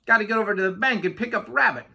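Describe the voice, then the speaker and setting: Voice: mid-range voice, racing, vocal fry, sharp tone, pitched above the performer's own voice. A storybook reader voicing a chatty, self-important yak taxi driver who rambles indignantly and obliviously. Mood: breezy, oblivious. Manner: rambling, indignant, never lets others speak.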